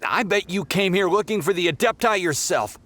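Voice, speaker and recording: A man's voice: high-pitched, expressive, a little fast, fairly bright tone, slight background noise. A man voicing a brash, greedy young pilgrim in a video game, melodramatic, whiny and easily offended. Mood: indignant.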